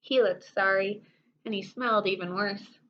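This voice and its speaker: A woman's voice: mid-range voice, normal speed. A woman reading a children's story aloud expressively, with playful asides to her listeners. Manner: animated asides to young listeners. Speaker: storybook reader. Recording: dull sound with faint background noise.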